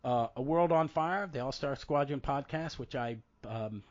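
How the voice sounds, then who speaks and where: A man's voice: medium pitch, normal speed, dull audio. A man in his fifties hosting a casual podcast, his voice froggy and congested from a head cold, rambling and cutting himself off mid-thought. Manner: rambling, self-interrupting. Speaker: podcast host.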